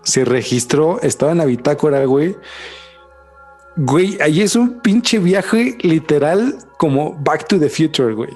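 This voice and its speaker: A man's voice: on the high side, a little slow, faint music behind. Slangy Mexican guy on a podcast. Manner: slangy, says "güey" constantly, animated storytelling. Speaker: podcast co-host. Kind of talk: casual chat.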